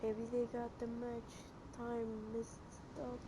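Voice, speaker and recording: Even, slow-spoken adventure game woman. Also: fairly high pitch, slight background noise. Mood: puzzled. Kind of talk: video game character acting.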